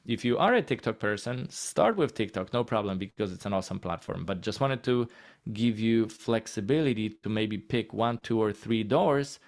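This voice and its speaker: A man with a Polish accent talks through his points in a relaxed, encouraging way. His voice sits a little low, and he breaks his thoughts into short, easy phrases with the odd filler. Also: normal speed. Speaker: guest speaker on healthcare marketing. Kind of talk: casual presentation.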